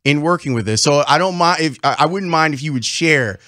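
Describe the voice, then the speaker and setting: A man's voice: on the high side, expressive, a little fast, pushed and bright, no room sound. Middle-aged radio host guy, asks questions, dry close studio mic. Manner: curious, leading questions. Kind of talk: radio interview. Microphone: studio mic with headphones.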